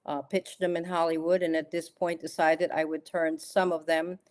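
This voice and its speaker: A middle-aged woman from the Philippines, a guest author recounting her past in a podcast interview, with measured pauses and frequent "uh" and "um.". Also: low voice, little pitch movement, a little mellow.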